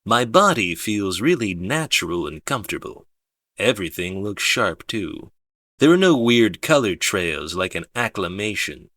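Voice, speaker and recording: A man narrating a first-person audiobook in a measured, understated way. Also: fairly low pitch, big pitch swings, sharp tone, dry recording, clean studio sound.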